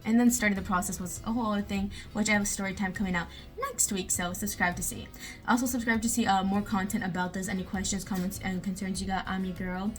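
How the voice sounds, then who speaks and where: A woman's voice: medium pitch, steady tone, fast talker, soft background music. A young woman from the United States, chatting casually in a how-to vlog, with lots of fillers like "you know" and "um". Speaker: vlogger.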